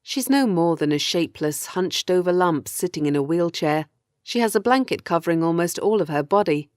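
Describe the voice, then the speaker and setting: A low-pitched woman reading as a captive woman of thirty recounts her ordeal in a horror audiobook. The delivery is measured and grim, weary and afraid. The sound is dry and close, with no room around it. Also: animated, darkish tone, no room sound, lightly acted. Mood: uneasy, horrified. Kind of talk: first-person audiobook horror narration.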